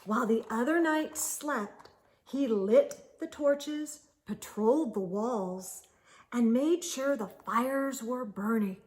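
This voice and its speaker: A woman hosting children's storytime, reading a picture book aloud expressively with deliberate, drawn-out phrasing. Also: on the high side, a little mellow. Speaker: children's storytime host and reader.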